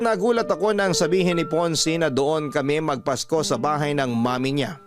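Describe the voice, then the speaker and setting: A man's voice: a little high, natural voice. A man with a Filipino accent narrating an aggrieved young wife's letter in a dramatic scripted style for radio drama.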